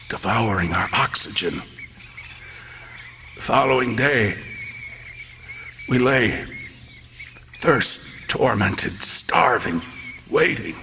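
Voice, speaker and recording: An actor playing a haunted lighthouse keeper who tells his ordeal in a radio play, with gravelly dramatic storytelling that drops to hushed tones. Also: fairly low pitch, in a large hall, a little hiss or hum, exaggerated voice. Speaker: narrator and lead actor. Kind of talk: live radio theatre.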